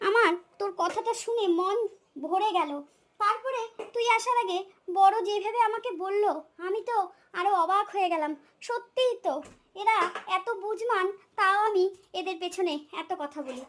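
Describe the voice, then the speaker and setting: Woman acting as older cartoon mother-in-law, extremely high pitch, sharp tone, doting, emotional, effusive. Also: a little hiss or hum. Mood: tearful, happy. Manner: emotional, effusive, drawn-out endearments. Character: doting older Bengali mother-in-law, scolding but soft-hearted. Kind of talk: comic cartoon dialogue.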